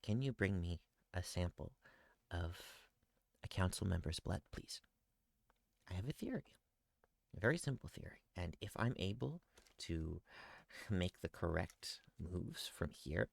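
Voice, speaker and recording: A man's voice: sits low, expressive, unhurried, creaky, long pauses, no echo, heavily acted. A man voicing an eager, scheming young letter-writer who dreams of becoming magic, with a breathless, conspiratorial manner.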